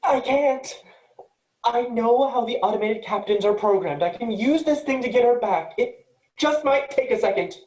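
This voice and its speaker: A woman's voice: fairly high pitch, moderate pace, a little mellow, reverberant, close to an everyday voice, poor audio. A woman playing an earnest, insistent, rule-abiding programmer aboard a spaceship in a virtual staged play reading. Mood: urgent.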